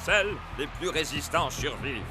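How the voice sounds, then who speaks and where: A man playing a tough, stern team coach in an animated series, speaking emphatically. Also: on the high side, normal speed, music in the background, close to an everyday voice, low-quality recording. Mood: tough, commanding. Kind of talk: animated series dub acting.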